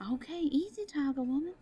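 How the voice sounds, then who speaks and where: An actress playing a teasing, encouraging woman confidante, coaxing, playful and often hushed, in a conversational audio drama. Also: sits high, lively intonation, normal speed.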